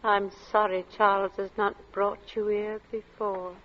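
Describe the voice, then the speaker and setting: Slow, flat-toned, eerie hostess played by a woman. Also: mid-range voice, over loud music, close to an everyday voice. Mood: grave, courteous. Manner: slow, formal, restrained. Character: grave, courteous wife, quietly eerie hostess. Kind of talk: 1940s radio acting. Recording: muffled, noisy vintage radio recording.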